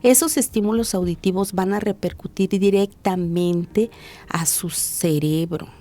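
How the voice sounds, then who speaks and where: A woman physician with a Mexican Spanish accent, explaining patiently and didactically in an interview, slowing down to stress key points. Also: lowish voice, dark tone. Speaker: physician, hearing and speech specialist.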